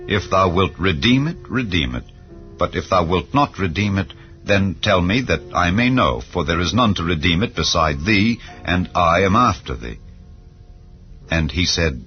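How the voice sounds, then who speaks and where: A man giving a solemn, measured reading of old-style scripture as narration. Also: low-pitched. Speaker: Bible reader. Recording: slightly dull with soft background music. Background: soft background music.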